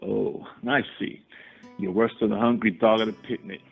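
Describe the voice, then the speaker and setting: Man playing a gravelly-voiced gentleman suitor. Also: fairly low pitch, fairly even intonation, moderate pace. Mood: earnest, impatient. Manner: formal, wordy, slightly condescending. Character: earnest, formal gentleman suitor pleading his case. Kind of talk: radio play acting. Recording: narrow phone-like sound, faint guitar music.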